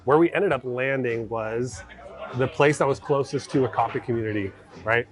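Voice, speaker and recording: A man's voice: mid-range voice, normal speed. An American man chatting casually as a podcast guest, informal, often peppering his talk with like and right. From born in Egypt, grew up in America. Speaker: podcast guest, former mortgage broker. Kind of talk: casual chat.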